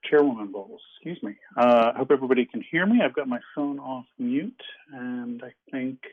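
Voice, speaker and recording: A man's voice: medium pitch, a little fast, husky. A man presents a working group update on a conference call. He speaks hesitantly, with many ums. The line is tinny and phone-like.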